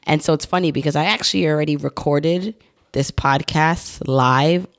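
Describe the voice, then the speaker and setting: A woman's voice: low voice, normal speed. A woman in her thirties hosting her own podcast, talking solo in a chatty, upbeat way with rambling asides, her voice still recovering after she lost it. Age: 30s. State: recovering from voice loss. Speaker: podcast host.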